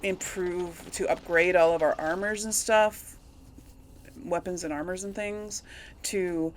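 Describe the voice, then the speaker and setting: A woman's voice: on the low side, steady tone, slow pace, slight background noise. A woman giving casual, rambling video game commentary to her audience, chatting to the characters as she plays. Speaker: host of a video game let's play.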